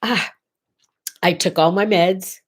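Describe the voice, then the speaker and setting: A woman's voice: lowish voice, steady tone, slow. A middle-aged woman with a congested, nasal voice, hosting a casual morning livestream chat from her home and chatting directly to viewers. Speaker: host. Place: home bedroom.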